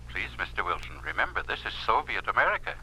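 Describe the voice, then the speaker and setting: An actor playing a smooth, evasive censorship official in a 1950s radio drama, emphatic and repeating his phrases, on a scratchy old recording. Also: fairly low pitch, big pitch swings, fairly quick, bright, forceful tone, full character voice. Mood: smugly reassuring.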